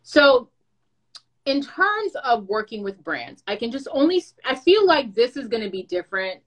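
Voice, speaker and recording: A woman's voice: fairly high pitch, normal speed. Chatty woman giving creator advice. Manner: lively, chatty, with playful asides. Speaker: content creator and brand manager. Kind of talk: casual advice talk.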